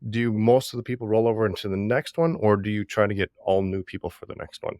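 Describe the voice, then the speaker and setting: A man's voice: fairly low pitch, fairly even intonation, fast talker, a little mellow, dry recording, clean studio sound. A man co-hosting a podcast, asking the guest curious questions. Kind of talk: conversational podcast interview.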